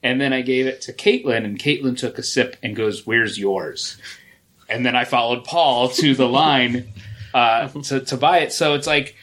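Male podcast co-host, mid pitch, relaxed storyteller, casual delivery. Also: a little slow. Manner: easygoing, animated anecdotes.

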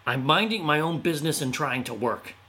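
A man's voice: fairly high pitch, lively intonation, fairly quick, pushed and bright, pitched above the performer's own voice. A man voicing old man Autumn, a proud spirit who paints leaves, in a testy, dismissive manner in a storybook reading.

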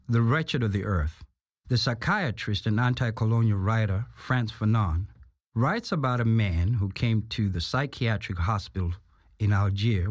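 A man reading scripted narration in a measured, solemn manner. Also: fairly low pitch, no room sound, studio quality. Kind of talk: scripted narration of an essay and radio play.

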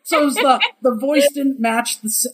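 A middle-aged man with a high tenor voice, a guest voice actor telling chatty anecdotes in an interview, laughing often and acting out the quotes. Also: steady tone, normal speed, a little hiss or hum. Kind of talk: casual interview storytelling.

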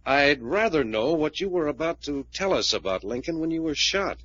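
An actor playing a tough, probing investigator in a 1940s radio drama, with a blunt, sardonic, interrogating manner. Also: male voice, on the high side, very animated, normal speed, fairly bright tone. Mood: firm, accusing. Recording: muffled old-time radio recording.

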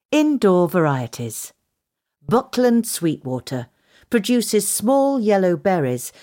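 A woman's voice: deep voice, a little mellow. A woman reading a scripted gardening article as narration. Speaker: magazine article reader.

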